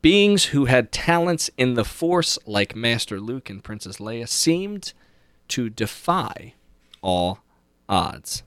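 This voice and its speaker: A man's voice: mid-range voice, sing-song, slow pace, fairly bright tone, smooth, no room sound. A man hosting a podcast, casually recapping a book with read-aloud passages and opinionated, conversational asides. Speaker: podcast host.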